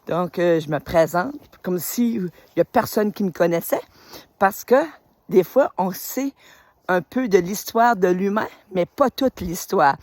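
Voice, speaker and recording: A woman's voice: on the low side, unhurried, dry recording. Enthusiastic woman in her fifties. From New Brunswick, Canada. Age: 50s. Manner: warm, enthusiastic, rambling with many fillers. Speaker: retired teacher and spiritual group leader. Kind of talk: casual welcome video to followers. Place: outdoors on a road in winter.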